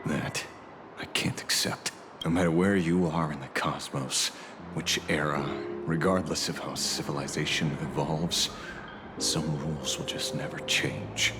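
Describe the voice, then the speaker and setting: A man's voice: rumbling low, fairly even intonation. An actor playing a theatrical, slightly awkward male detective with a vengeful streak in a video game. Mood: grave, resolute. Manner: theatrical, swings from grave and measured to flustered stammering. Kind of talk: dramatic video game character acting. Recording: background music under dialogue.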